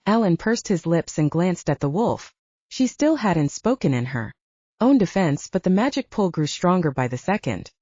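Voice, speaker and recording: A woman narrates romance fiction in a scripted audiobook style. Her pitch sits a little low but moves in lively swings. The recording is close and dry. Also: moderate pace, dry recording, lo-fi sound.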